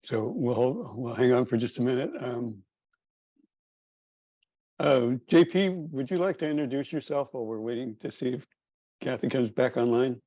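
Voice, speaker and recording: A man's voice: mid-range voice, normal speed, pauses often, no room sound. A man co-hosting an online meeting in a casual, conversational way. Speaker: co-host. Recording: dull, lo-fi video call audio.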